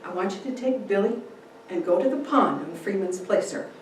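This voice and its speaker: A woman's voice: mid-range voice, animated, moderate pace, natural voice. A woman playing a fearless, commanding frontier woman in a dramatic story reading. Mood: calm command. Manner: firm, commanding. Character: fearless, defiant, calm-headed frontier woman Kitty. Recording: roomy echo, distant mic, faint noise.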